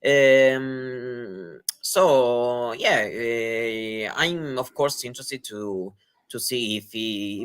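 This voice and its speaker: Slow, halting man analysing tennis. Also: fairly low pitch, fairly bright tone. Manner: hesitant, many fillers and restarts. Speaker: tennis co-commentator.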